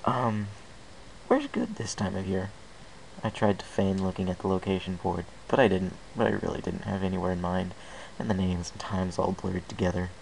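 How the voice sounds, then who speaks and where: Male amateur story narrator, lowish and gravelly, reading in first person over faint hiss. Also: lively intonation, normal speed, a little mellow, rough recording. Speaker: amateur fan fiction reader. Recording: hissy amateur home recording.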